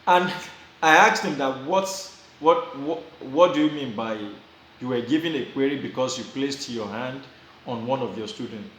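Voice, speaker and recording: A man's voice: medium pitch, normal speed, fairly bright tone. Nigerian man in an echoey room. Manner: repeats and restarts phrases, chuckles while telling. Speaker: vlogger giving advice. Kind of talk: casual advice talk to camera.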